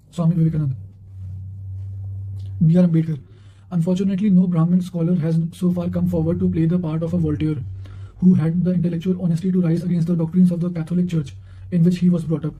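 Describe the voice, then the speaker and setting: A man's voice: high-pitched, warm tone, low-quality recording. A man reading quotations aloud for study revision, now and then stumbling and correcting himself. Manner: reads with stumbles and self-corrections.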